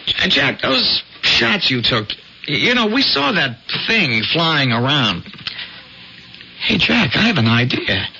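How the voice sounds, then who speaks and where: Eager, animated scheming radio-drama newspaperman. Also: fairly high pitch, slow, gravelly, full character voice. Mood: exasperated, then eager. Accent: American. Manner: excitable, stammering, emphatic. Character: eager, stubborn small-town newspaperman, a scheming skeptic in love. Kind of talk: old-time radio drama acting. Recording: muffled, noisy old radio recording.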